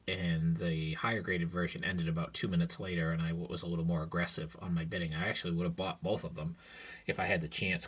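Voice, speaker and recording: A man with a raspy, hoarse voice from a cold, casually showing off his collection at home in a rambling vlog, filmed on his cell phone. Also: deep voice. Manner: relaxed, rambling, with frequent fillers. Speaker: sports card collector vlogger. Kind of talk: casual show-and-tell vlog.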